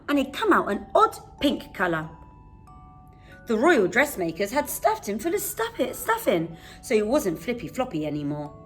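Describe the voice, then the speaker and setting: A woman reads a children's bedtime story expressively, with dramatic, deliberate pauses. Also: mid-range voice.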